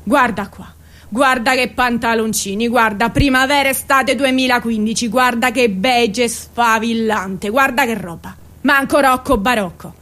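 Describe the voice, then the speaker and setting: A woman's voice: fairly high pitch, unhurried, fairly bright tone. A woman acting a bitter, brash, foul-mouthed working-class woman in a theatrical radio monologue, coarse, scornful and insistent, repeating her phrases. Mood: boastful, indignant.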